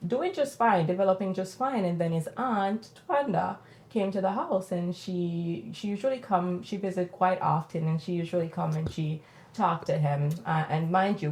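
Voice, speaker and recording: Low-voiced Jamaican woman in a roomy space. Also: darkish tone. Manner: candid, reflective storytelling. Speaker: podcast host. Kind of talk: personal podcast conversation.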